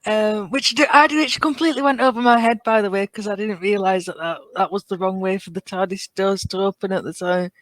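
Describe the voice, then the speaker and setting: Female podcast guest, medium pitch, informal conversational delivery, dry sound with no room echo. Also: steady tone, brisk, few pauses. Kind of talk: casual chat.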